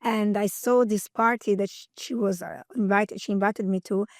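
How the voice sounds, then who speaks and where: A woman's voice: mid-range voice, steady tone, normal speed, no room sound, clean studio sound. A woman around fifty with an Italian accent, a guest in an interview, talking energetically and chattily and laughing often. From Italy. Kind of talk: interview conversation.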